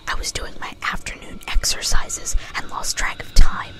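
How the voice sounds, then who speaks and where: A woman's voice: normal speed, close to an everyday voice. Woman as whispering brash young pony girl. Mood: casual, game. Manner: casual, slangy. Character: brash, sporty young female flying cartoon pony. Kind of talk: whispered ASMR-style story reading.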